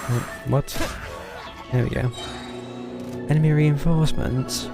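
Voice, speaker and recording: Guy doing chill game stream commentary, kinda mumbly and gravelly, game music in the back. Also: a little high, fairly even intonation, a little slow. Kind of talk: casual gameplay commentary.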